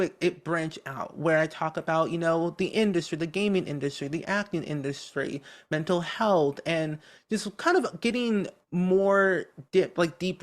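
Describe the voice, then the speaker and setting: An upbeat, talkative content creator chatting casually as a podcast guest, with a naturally high voice that has a medium tone. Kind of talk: casual interview chat.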